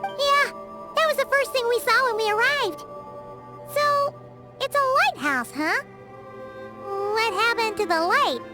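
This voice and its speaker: Tiny inquisitive female game sidekick: childlike, sky-high and very animated, over prominent music. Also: slow pace, pauses often, heavily acted. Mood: curious. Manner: squeaky, casual, inquisitive. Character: tiny childlike female fairy companion, curious and chatty. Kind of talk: scripted video game character dialogue. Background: loud game music.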